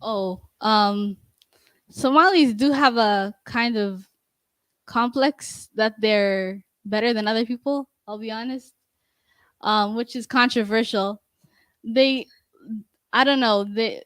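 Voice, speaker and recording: A young woman giving a class presentation and answering questions, talking in a halting way with many restarts and fillers, into a handheld microphone in a bright meeting room. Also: a little high, no room sound. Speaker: student presenter.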